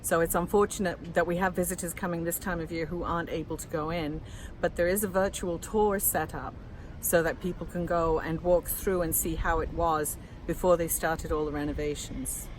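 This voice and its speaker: A woman's voice: fairly low pitch, steady tone, normal speed, low-quality recording. A woman from England with a mixed English accent, articulate and informative, answering questions in a casual street interview outdoors. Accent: mixed English, from Lancashire and moving around. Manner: articulate, informative, laughs easily. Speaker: passer-by interviewed, author. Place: outdoors in the shade beside a historic building. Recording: outdoor street recording with clear background noise.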